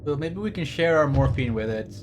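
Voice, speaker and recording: A man's voice: on the high side, expressive, brisk, noisy background. A man streaming a game with casual, rambling commentary, wry and casually profane. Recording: stream with game music behind.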